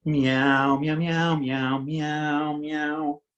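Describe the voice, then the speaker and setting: A man acts out an earnest little storybook cat with a singsong, heartfelt manner. The delivery is unhurried, and the recording sounds slightly dull. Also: on the high side, level tone, fairly bright tone, reverberant, a little hiss or hum, pitched above the performer's own voice. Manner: sighing, singsong meows. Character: earnest singing cat, sad then delighted.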